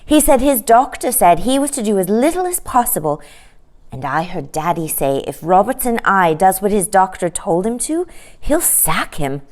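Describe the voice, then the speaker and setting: A woman voicing an excitable, chatty little boy in a children's storybook, eagerly reporting in breathless exclamations. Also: mid-range voice, normal speed, close to an everyday voice. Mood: confiding.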